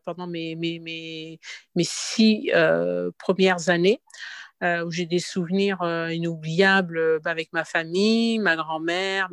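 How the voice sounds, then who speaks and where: A woman in her fifties from Morocco, a podcast interview guest who talks in a halting way with frequent restarts. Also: fairly low pitch, smooth, no echo, clean studio sound. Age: 50s. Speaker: interview guest, tea room owner.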